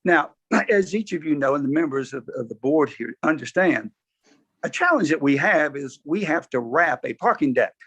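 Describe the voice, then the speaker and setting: Hoarse man presenting over a call. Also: fairly high pitch, expressive, fairly bright tone, lo-fi sound. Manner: halting, frequent restarts and throat clearing. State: hoarse from allergies. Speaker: developer presenting to a review board. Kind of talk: formal presentation at a public meeting. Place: remote video call.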